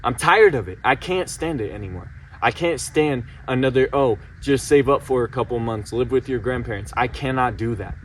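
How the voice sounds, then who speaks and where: A man's voice: medium pitch, lively intonation, moderate pace, far from the mic. A late-teen young man pours out his frustration in an insistent, repeating vent. His voice sits a little away from the mic, with faint background noise underneath. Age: late teens. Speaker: vlogger. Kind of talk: emotional personal vent to camera.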